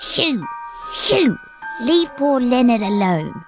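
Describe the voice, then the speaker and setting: A soft, kind young girl with a gentle, soothing way of speaking, played by a woman. Her voice sits a little high, and music plays underneath her in this audio drama. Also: big pitch swings, slow pace. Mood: scolding.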